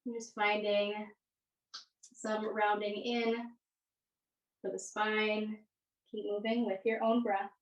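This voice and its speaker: A woman's voice: mid-range voice, little pitch movement, slow pace, long pauses. Echoey, distant woman guiding yoga. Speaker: yoga instructor. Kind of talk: guided yoga and meditation class instruction. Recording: echoey room, distant microphone.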